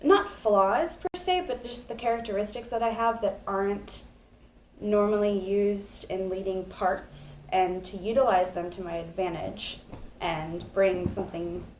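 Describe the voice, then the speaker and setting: Airy-voiced actress in roomy room. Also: female voice, medium pitch, a little slow, a little mellow, a little hiss or hum. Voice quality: airy, light voice. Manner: giggly, chatty, rambling with fillers. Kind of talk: casual live stream chat. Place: living room couch. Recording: roomy living room echo.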